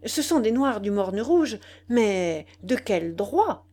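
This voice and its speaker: Woman as a villainous male dwarf sorcerer, vengeful, imperious, slow emphatic delivery, storybook drama. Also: medium pitch, very animated, darkish tone. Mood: indignant, commanding. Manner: theatrical, emphatic, cursing with Spanish words mixed in.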